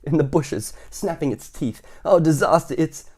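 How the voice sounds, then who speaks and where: A man's voice: on the high side, normal speed, darkish tone, pitched above the performer's own voice, lightly acted. A man voicing a timid, nervous little man who stammers and shrieks in a children's storybook reading. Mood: panicked.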